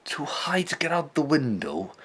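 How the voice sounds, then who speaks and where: A man's voice: fairly high pitch, very animated, normal speed, heavy background noise. An actor playing a devout, righteous father held captive in a radio play, earnest and melodramatic. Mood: anxious, searching. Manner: earnest, melodramatic, horrified exclamations. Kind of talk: old-time radio play acting.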